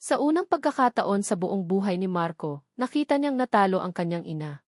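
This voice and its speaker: A woman's voice: mid-range voice, very animated, fairly quick, darkish tone, dry recording, studio quality. A woman reading a scripted, dramatic story as its narrator.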